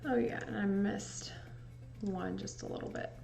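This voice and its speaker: Slow, relaxed Midwestern woman livestreaming. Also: mid-range voice, creaky. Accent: Midwestern American. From Indiana, US Midwest. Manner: slow, relaxed, chatty with frequent laughs. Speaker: livestreaming photo editor. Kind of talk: casual livestream chat with viewers. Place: indoor home room. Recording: echoey room with faint music.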